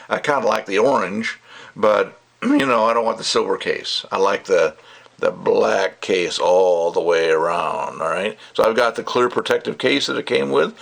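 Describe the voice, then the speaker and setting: Gravelly, low-voiced man ranting casually. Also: fairly bright tone. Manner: rambling, emphatic, punctuating points with okay and all right. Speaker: product reviewer, hobbyist audiophile. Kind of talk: casual opinionated review rant.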